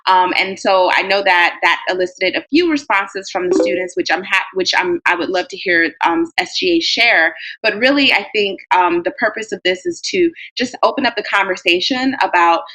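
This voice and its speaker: Woman, bright tense voice, facilitator, hesitant, lots of fillers. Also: medium pitch, expressive, moderate pace, few pauses, low-quality recording. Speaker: meeting facilitator. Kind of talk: online meeting discussion.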